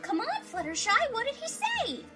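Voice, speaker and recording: A woman voicing a bubbly, playful, excitable young female pony in a cartoon audio drama, with a chirpy, theatrical manner. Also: extremely high pitch, normal speed, faint music behind, heavily acted. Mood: excited.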